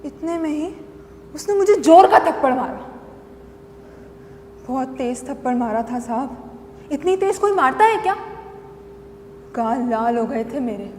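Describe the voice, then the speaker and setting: Casting: an unhinged battered wife confessing in a dramatic monologue; very high voice, slow halting delivery, repetitive and pleading. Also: female voice, lively intonation, a little mellow. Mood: distressed, anguished. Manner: halting, repeats phrases, pleading. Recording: long echoing hall reverb, faint noise.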